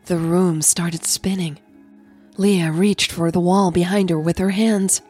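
A woman narrates in a slightly low register at a markedly slow pace. Her delivery is that of an intimate, suspenseful podcast storyteller. Faint music runs behind her. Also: a little mellow. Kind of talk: dramatic audio story narration and podcast chat.

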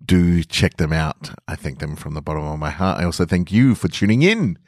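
A man's voice: deep voice, lively intonation, fairly quick, no echo, studio quality. A man hosting a podcast, speaking earnestly and gratefully to listeners in a sincere sign-off. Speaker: podcast host and story reader.

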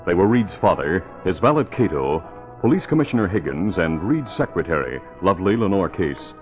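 A man's voice: low voice, sing-song, a little fast. A man narrating an old-time radio serial in a formal, dramatic announcer style. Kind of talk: scripted 1940s radio narration. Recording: dull old radio broadcast. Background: music.

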